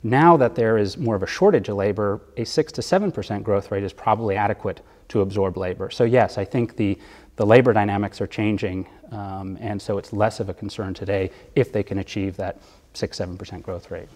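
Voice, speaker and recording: A man being interviewed as a bond fund manager, explaining economics in a measured way with occasional fillers. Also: fairly low pitch, darkish tone, big-room sound.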